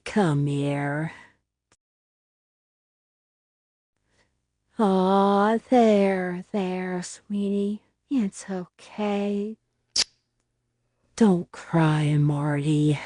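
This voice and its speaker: A woman playing a gentle, doting mother who soothes her small baby in a soft, tender, cooing ASMR bedtime roleplay. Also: on the low side, dry recording, clean studio sound. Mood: tender concern. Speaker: ASMR audio roleplay performer.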